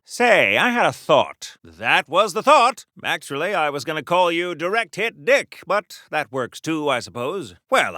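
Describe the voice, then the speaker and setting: An earnest, wholesome 1940s patriotic superhero for a video game. His voice sits high and swings widely in pitch, with a bright, brassy edge. He speaks politely and theatrically, like an old-time comic book soldier. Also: no room sound, studio quality. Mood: polite, bemused. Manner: quaint, polite, theatrical, declamatory. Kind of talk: golden-age comic book hero game dialogue.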